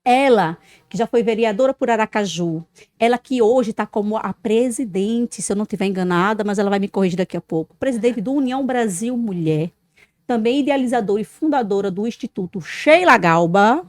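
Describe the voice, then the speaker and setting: A woman's voice: mid-range voice, a little fast, dry recording. A woman podcast host, talking expressively with frequent tag questions. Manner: expressive, frequent "né", loud greetings. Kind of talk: warm podcast interview.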